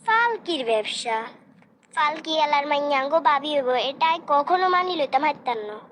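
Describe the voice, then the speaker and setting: Woman voicing a high-pitched nosy, snobbish young sister. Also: lively intonation, normal speed, a bit bright, slight background noise. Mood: scornful. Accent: Noakhali Bengali. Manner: interrogating, haughty. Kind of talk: comic cartoon voice acting.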